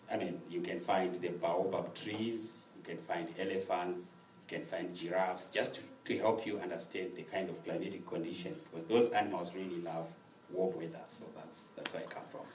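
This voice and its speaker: A young man from Zimbabwe, speaking softly and with measured pacing as a guest telling his story, often laughing. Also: low-pitched, slight background noise. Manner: soft-spoken, measured, laughs easily. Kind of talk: conversational storytelling interview. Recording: roomy sound from a distant mic.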